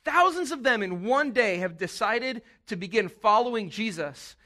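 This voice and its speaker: Male pastor, high-pitched and bright, casual animated sermon delivery. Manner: casual, animated preaching with quick asides.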